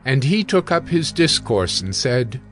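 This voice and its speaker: A man's voice: medium pitch, big pitch swings, a little slow, fairly bright tone, soft background music. A man giving scripted narration as the narrator of a dramatized scripture reading.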